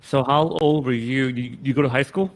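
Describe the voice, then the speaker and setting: A man's voice: medium pitch, fairly even intonation, normal speed. Informal male podcast host. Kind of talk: casual interview.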